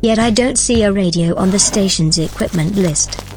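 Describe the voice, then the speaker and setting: A woman playing a calm, formal and helpful artificial intelligence assistant in an audio drama, measured and matter-of-fact. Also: mid-range voice, soft background music, natural voice. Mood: calm, inquiring.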